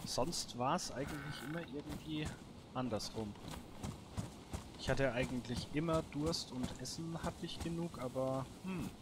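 A man commentates on his own gameplay in a casual, improvised way. His voice sits at an average pitch. He thinks aloud in short muttered remarks, and there is clear noise with clicks in the recording. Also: unhurried. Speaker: gaming let's player.

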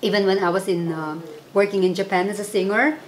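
A woman's voice: medium pitch, steady tone, fairly quick, slight background noise, rough recording. Chatty Filipino woman eating at home. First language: Tagalog. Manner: chatty, mixing English and Tagalog, laughing often. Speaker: vlog co-host. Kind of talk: casual chat over a meal. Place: home dining table, indoors.

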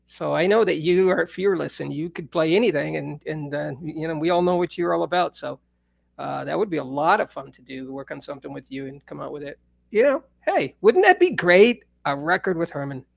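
Middle-aged man, host, gravelly voice, fairly high, dry close sound, laid-back and rambling. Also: lively intonation, a little fast, dry recording. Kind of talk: casual live-stream chat. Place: home studio room. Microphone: studio mic on a stand.

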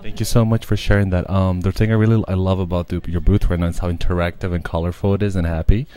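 A man's voice: low voice, little pitch movement, fast talker, smooth. A man from Brazil hosting a podcast interview at an art fair booth, enthusiastic toward his guest. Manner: enthusiastic, warm praise of guest. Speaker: podcast host. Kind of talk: casual interview.

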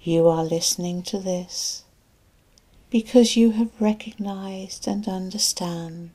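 A woman hypnotherapist narrating a guided relaxation and sleep hypnosis in a soft, breathy, soothing and deliberate voice, leaving long pauses between phrases. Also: fairly low pitch.

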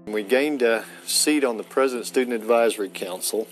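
A man's voice: medium pitch, a little fast, faint music behind. A man in his forties recounting an anecdote in an archive interview, sitting outdoors in an open vehicle.

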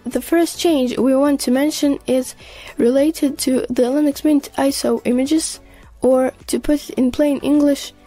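High-pitched woman narrating tech news. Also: mellow. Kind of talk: scripted narration.

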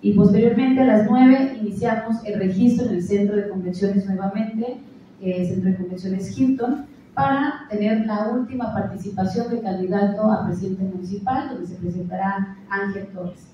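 A woman with a Mexican accent speaks into a handheld mic at a press conference table, filling her pauses with "eh" as she stays formal and steady. Her mellow voice is picked up from far off and sounds a little dull. A long echo from the hall follows every phrase. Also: medium pitch, a little hiss or hum. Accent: Mexican Spanish. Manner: steady formal delivery with frequent "eh" fillers. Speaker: chamber president announcing an event. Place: large indoor conference hall. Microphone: handheld mic at a panel table, filmed from a far camera. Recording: echoey hall, distant and dull.

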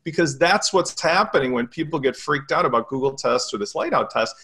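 Husky, higher-pitched man, emphatic. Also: a little fast. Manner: emphatic, animated. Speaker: panelist. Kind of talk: opinionated round-table discussion. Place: living room at home. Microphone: video call, away from the camera.

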